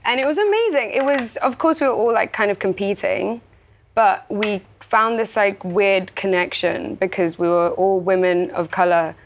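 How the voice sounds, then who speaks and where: Lively young actress, bubbly interview chat. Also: female voice, mid-range voice, normal speed, slight background noise. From South Africa. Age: young adult. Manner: animated, bubbly, laughs often. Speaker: actress guest.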